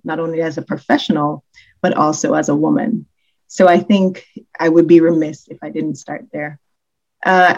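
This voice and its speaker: A woman from Jamaica with a low, deep voice tells her story at a measured, earnest pace. She slows down on the points that matter. The recording sounds a bit dull and muffled. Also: a little mellow. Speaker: university executive, guest. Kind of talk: reflective storytelling in an interview.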